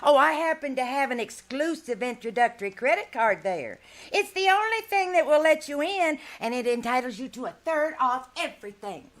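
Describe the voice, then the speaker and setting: A woman's voice: high-pitched, animated, normal speed, hardly any pauses. A woman playing a fussy, guilt-tripping older landlady in a live radio comedy, sweetly martyred and wheedling. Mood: chirpy, sly. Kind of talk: live old-time radio comedy acting.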